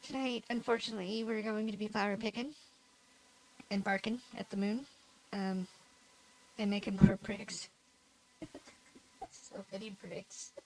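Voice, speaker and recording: A woman's voice: mid-range voice, fairly even intonation, measured pace, long pauses, slight background noise, rough recording. A woman livestreaming a game, talking casually in a nasal voice, rambling and giggling at herself. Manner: rambling, giggly, self-deprecating. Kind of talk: casual gameplay chat.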